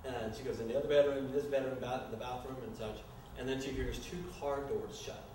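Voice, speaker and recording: A man narrating a staged screenplay reading in a loose, improvised, halting way, often stumbling over his words. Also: medium pitch, steady tone, dark tone. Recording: echoey room, distant mic, noisy.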